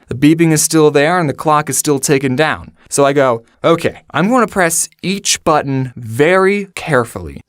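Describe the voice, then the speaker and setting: A man's voice: on the high side, no room sound, studio quality. A young adult man with an American accent telling a casual comedic story to his viewers, chatty and self-deprecating with sarcastic asides. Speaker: storyteller and video host.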